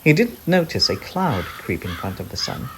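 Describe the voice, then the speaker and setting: A man narrating a children's story in an expressive, gentle, deliberate way. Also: on the low side, background noise. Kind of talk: children's read-aloud narration. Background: crickets, animal and nature sound effects.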